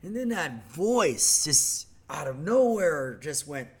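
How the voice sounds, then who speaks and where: A congested man who founded a company, talking in a rambling monologue that trails off into quiet asides. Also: fairly high pitch, sing-song, slow pace, husky. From Washington, D.C. area.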